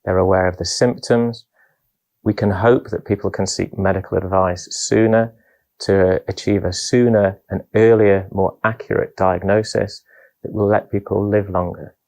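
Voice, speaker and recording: A man who is a doctor, giving an earnest, measured conference talk. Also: low voice, steady tone, vocal fry, darkish tone.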